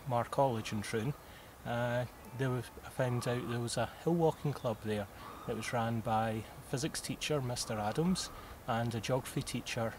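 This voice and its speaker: A Scottish man in his thirties from southwest Scotland, answering interview questions about mountaineering in an unhurried way, with many "uh" pauses. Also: medium pitch. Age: 30s. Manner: unhurried, with frequent "uh" pauses and occasional laughs. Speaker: mountaineer guest. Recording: clearly audible background noise.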